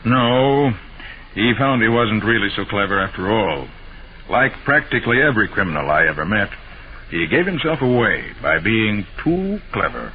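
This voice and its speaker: An American actor playing a confident, clever master detective in a 1940s radio drama, explaining things with assurance. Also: male voice, on the low side, very animated, normal speed. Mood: easygoing. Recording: tinny, noisy old radio recording.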